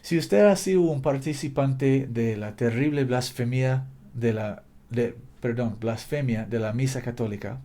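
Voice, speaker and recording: A man's voice: mid-range voice, measured pace, mellow. A man preaching a fervent sermon in a language that is not his native one, exhorting listeners with repetition and occasional slips. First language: not Spanish.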